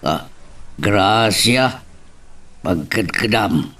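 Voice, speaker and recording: A man's voice: on the low side, expressive, extremely slow, sharp tone, distant mic, a little hiss or hum, full character voice. A man acting an older rural father, practical, pressing and fond, in an Ilocano-accented audio drama.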